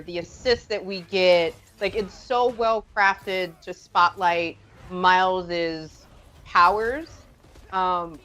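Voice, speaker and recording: Female live-show host, mid-pitched, gushing and enthusiastic interviewer. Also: a bit bright.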